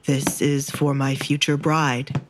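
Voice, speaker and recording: A woman's voice: sits low, little pitch movement, slow pace, noisy background, voice pushed down. A woman voicing a stern young male duke with a clipped, commanding manner in an audio romance. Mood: curt.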